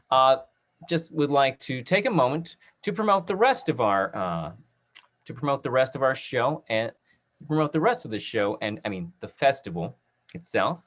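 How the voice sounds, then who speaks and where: A man hosting an informal virtual Q&A and making announcements, halting and apologetic as he repeats and restarts his phrases. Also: medium pitch, fairly bright tone. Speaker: host moderating an audience Q&A. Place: online video call.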